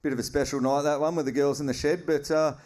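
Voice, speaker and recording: A man's voice: on the high side, steady tone, quick pace, a little mellow. A man hosting a live podcast, chatty and teasing in slangy banter. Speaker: podcast host.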